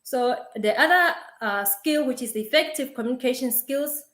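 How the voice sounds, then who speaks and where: Young woman from Lesotho, halting webinar talk. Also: sits high, a bit bright. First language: Sesotho. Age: young adult. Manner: halting, with many fillers and repeated words. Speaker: webinar speaker. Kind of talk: online webinar presentation. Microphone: video call. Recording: roomy video call audio.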